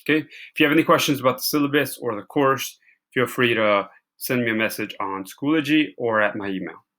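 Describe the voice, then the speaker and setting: A man speaking as a high school teacher, giving an informal, plainly worded walkthrough directly to his students. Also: a little fast, a bit bright. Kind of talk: informal lesson walkthrough of a course syllabus.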